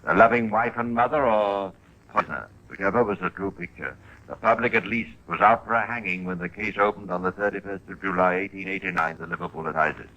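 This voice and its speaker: A man narrating a radio crime drama as host, in a measured, theatrical 1950s style with pointed emphasis. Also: on the low side, vocal fry, bright, forceful tone, dull audio.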